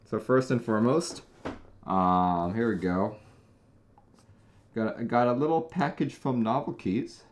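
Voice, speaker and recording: A man from Taiwan hosting a casual live stream, talking in a chatty, relaxed way with frequent small restarts. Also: medium pitch, slow pace, long pauses. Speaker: host.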